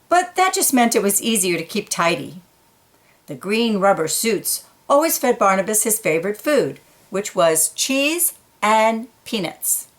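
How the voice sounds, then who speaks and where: A woman reading a picture book aloud to children in a gentle, engaged way. She pauses to point out little details in the illustrations before going back to the story. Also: medium pitch.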